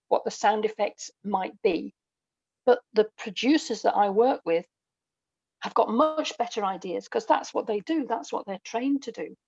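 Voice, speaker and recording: A middle-aged woman speaking as a podcast guest, explaining things in a measured way with pauses between phrases. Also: medium pitch, vocal fry, darkish tone, breathy edge, no room sound. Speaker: guest, audio drama writer. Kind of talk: thoughtful podcast conversation. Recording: dull, close dry lo-fi audio.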